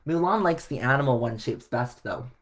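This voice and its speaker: A man reading a children's story aloud in an expressive way. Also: mid-range voice, normal speed. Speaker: storybook reader.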